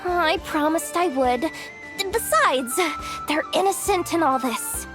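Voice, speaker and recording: Very high, sincere young protector. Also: female voice, animated, slow. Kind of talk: video game cutscene acting. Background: clear music.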